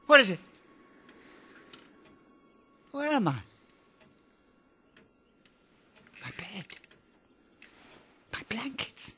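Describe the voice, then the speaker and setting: A man playing an excitable, desperate old miser in a radio drama, with a theatrical, breathless, stammering delivery. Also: extremely high pitch, slight background noise, exaggerated voice. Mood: awed relief. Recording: music under studio radio drama.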